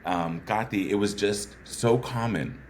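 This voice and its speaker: Very low-voiced man, thoughtful guest storyteller, light background hiss. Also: measured pace, darkish tone. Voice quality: bassy voice. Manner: earnest, thoughtful, frequent "right?" asides. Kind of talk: reflective conversational storytelling.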